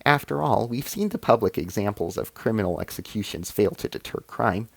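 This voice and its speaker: A man's voice: lowish voice, animated, brisk, vocal fry, dry recording. A man voicing a grim, suspicious alien military councillor in a story, pressing his argument.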